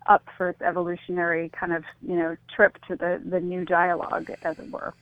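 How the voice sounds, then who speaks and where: A woman's voice: on the low side, monotone, a little fast, no echo. A woman, speaking reflectively and tentatively as an interview guest over a phone-like line. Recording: thin, phone-like call-in line.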